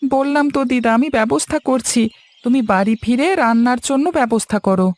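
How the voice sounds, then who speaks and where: A woman's voice: fairly high pitch, a little fast, darkish tone, no echo, lightly acted. A woman voicing a helpful, dutiful young village handyman in a cartoon story, earnest and polite. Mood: reassuring, resolute. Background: background music.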